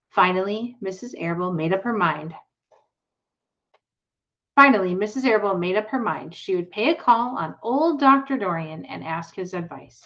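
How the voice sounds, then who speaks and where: A woman who teaches, reading a children's novel aloud in a clear, steady way. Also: fairly low pitch, muffled recording. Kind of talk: read-aloud storybook narration.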